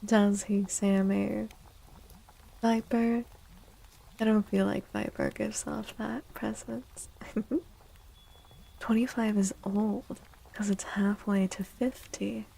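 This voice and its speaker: Soft-spoken, slow woman with creaky voice. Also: medium pitch, little pitch movement, a little hiss or hum, low-quality recording. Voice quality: soft, breathy, often near-whispered. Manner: gentle, slow, unhurried, addressing chatters. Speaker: livestream host and tarot reader. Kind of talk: soft-spoken ASMR livestream chat.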